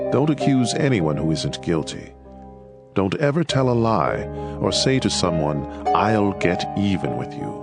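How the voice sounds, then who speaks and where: A man's voice: low-pitched, heavily acted. An actor playing a fatherly teacher counseling his children in a dramatized, instructive scripture reading. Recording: music playing behind the voice. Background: instrumental music.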